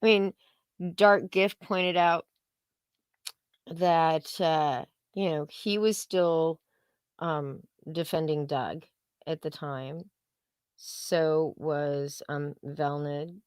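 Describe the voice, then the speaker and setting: Female livestream co-host, low pitch, very dry close sound, blunt and profane, casual opinion talk. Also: steady tone, very slow, clear, resonant tone, dry recording.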